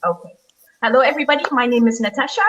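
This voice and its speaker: A woman in her forties, from the Netherlands, a guest on a casual online interview, relaxed and halting, repeating words. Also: on the high side, music in the background. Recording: dull lo-fi audio with faint noise.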